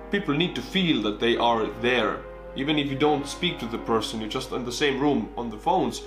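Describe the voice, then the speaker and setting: A man's voice: medium pitch, roomy sound. A young man in his twenties with a thick Estonian accent, chatting animatedly to camera in a casual vlog from his bedroom. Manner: animated, big hand gestures, bursts of excitement. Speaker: YouTuber. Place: small bedroom indoors. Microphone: camera on desk.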